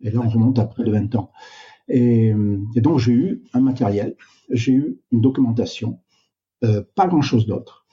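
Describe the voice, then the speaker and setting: An older man, a retired doctor, answering interview questions in a slow, reflective way with many hesitations. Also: mid-range voice, warm tone. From Canada. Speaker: guest, doctor. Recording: dull, lo-fi sound.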